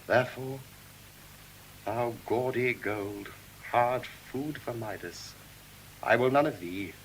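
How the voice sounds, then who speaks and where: Young romantic venetian lord, posh rp english, slow theatrical shakespeare verse, swoops around a lot, old hissy recording. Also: male voice, mid-range voice, a bit bright, low-quality recording. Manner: measured, theatrical, deliberate declamation. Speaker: stage actor. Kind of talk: classical Shakespearean verse acting. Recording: hissy vintage audio drama recording.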